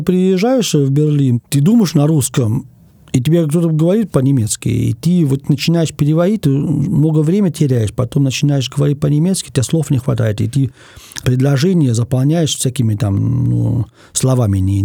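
A man from Germany, a guest in an interview, speaking thoughtfully in casual talk and pausing often to find his words. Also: medium pitch, creaky, dark tone, breathy edge, no room sound, studio quality.

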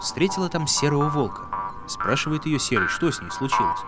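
Guy telling a fairy tale, medium voice, dramatic and expressive, loud music under him. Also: medium pitch. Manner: expressive, deliberate phrasing. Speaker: storyteller. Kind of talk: dramatic folk tale narration.

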